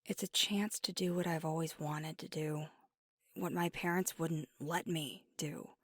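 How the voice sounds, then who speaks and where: An earnest, devoted werewolf girlfriend played by a woman in an intimate ASMR audio roleplay. Her voice sits on the low side and comes through dry and close. She takes her time, choosing words with hesitant, conversational sincerity. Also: steady tone, measured pace, husky, dry recording, heavily acted. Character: devoted, earnest young werewolf girlfriend weighing big news.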